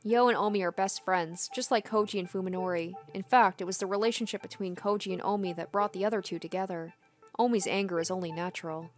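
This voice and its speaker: A woman's voice: medium pitch, fairly even intonation, fast talker, faint music behind. A young adult woman game streamer reading story narration aloud and adding casual commentary.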